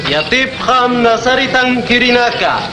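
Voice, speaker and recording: A shrill royal herald. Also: male voice, lively intonation, unhurried, very noisy. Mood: proclaiming. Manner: proclaiming, formal. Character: male royal herald proclaiming an imperial decree. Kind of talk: radio drama acting. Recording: echoey with loud music bed.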